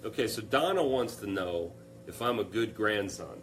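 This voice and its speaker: A man's voice: on the low side, animated, normal speed, slight background noise. A young man hosting a casual live Q&A, upbeat and joking as he reads out viewer comments. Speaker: live stream host.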